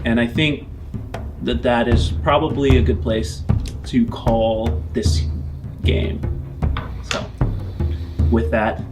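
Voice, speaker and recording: A man's voice: medium pitch, fairly even intonation, takes it slowly, long pauses. Male game master narrating over music. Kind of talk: improvised narration of a tabletop game. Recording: music under the voice.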